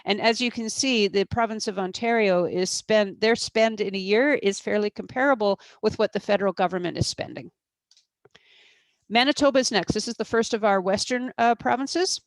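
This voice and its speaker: A woman's voice: mid-range voice, moderate pace, no room sound. A woman presenting in a webinar as a procurement expert, explaining at length with frequent fillers and restarts.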